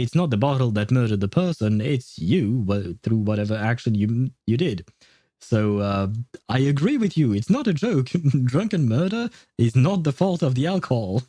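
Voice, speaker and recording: Mellow-voiced man rambling on a livestream. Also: medium pitch, dry recording, clean studio sound. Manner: rambling, jokey, thinking aloud. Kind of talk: casual livestream game commentary.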